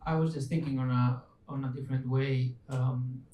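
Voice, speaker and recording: A man's voice: fairly even intonation, moderate pace. A man who speaks English as a second language, reflecting hesitantly during a panel discussion, searching for his words. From outside Finland. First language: not English. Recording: echoey room, distant mic, low rumble.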